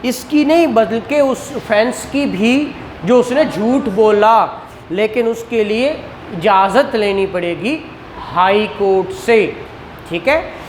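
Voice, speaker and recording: A man's voice: high voice, slow pace. A man from Pakistan giving a law lecture, who asks questions and answers them himself and mixes in English legal terms. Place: room with a whiteboard. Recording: roomy echo with faint background noise.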